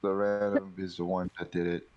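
A man's voice: sits low, flat delivery, normal speed, highs cut off. A man playing an online game, chatting casually and slangily with friends. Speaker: game player. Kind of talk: casual group game chat.

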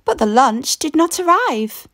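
Woman, storybook reader, slightly high voice, animated, deliberate dramatic phrasing, dry sound. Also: dry recording. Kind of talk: expressive scripted children's story narration.

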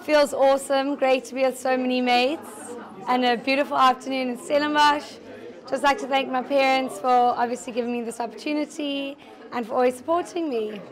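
A young woman speaks cheerfully into a furry handheld mic in a building corridor. Her voice is high and fairly even. A crowd murmurs faintly behind her. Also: a little fast. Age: young adult. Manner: cheerful, upbeat. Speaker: graduate. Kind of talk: casual interview to camera.

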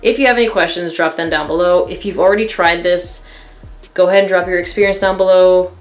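A woman's voice: fairly low pitch, fairly even intonation, brisk, soft background music. A woman in her thirties casually reviewing a fragrance to camera from her living room, candid and chatty with many fillers. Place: living room in front of a couch, indoors.

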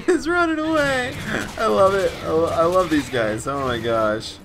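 A man hosting a let's play, commenting on gameplay in an excitable, enthusiastic way, his voice raspy and a bit stuffed up. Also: sits high, measured pace.

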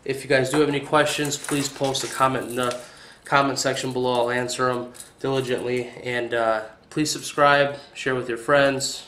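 A young man hosting a casual fishing video indoors, talking in a relaxed, hesitant way with frequent fillers, recorded on a camera mic at a counter. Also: mid-range voice, normal speed, slight background noise. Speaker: fishing channel host. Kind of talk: casual tackle review. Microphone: camera mic on tripod.

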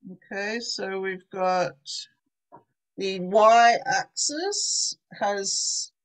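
A woman teaching an online English class over a video call, slow and patient, praising her students often. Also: medium pitch, lively intonation, low-quality recording. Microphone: laptop webcam on a video call.

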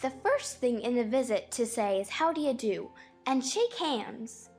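Highish, pompous Tweedledum played by a woman. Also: big pitch swings, measured pace, a little mellow, husky, soft background music, exaggerated voice. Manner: pompous, reciting. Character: Tweedledum, pompous comic twin brother. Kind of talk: dramatized audiobook acting.

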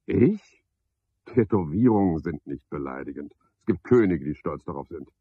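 A self-important club chairman in a seventies radio drama. He is a deep-voiced, gravelly man who cajoles and preens. The old recording is dry and somewhat dull. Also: animated, moderate pace, dry recording, exaggerated voice. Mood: defensive, boastful. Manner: pompous, cajoling, one-sided conversation. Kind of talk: 1970s German radio play acting. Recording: dull, band-limited 1970s radio recording.

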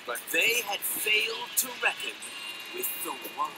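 A man's voice: high-pitched, big pitch swings, a little slow, heavy background noise. A man voicing a boastful young adventurer in a cartoon game, telling his tale with theatrical self-importance. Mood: grandly dramatic. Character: brash, boastful young male adventurer narrating his own heroic tale.

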